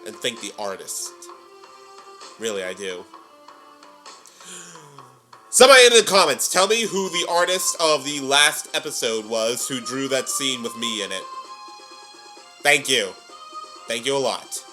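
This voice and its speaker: A man's voice: mid-range voice, lively intonation, a little slow, long pauses, music in the background. A man hosting a reaction video in casual commentary, exclamatory and playfully theatrical.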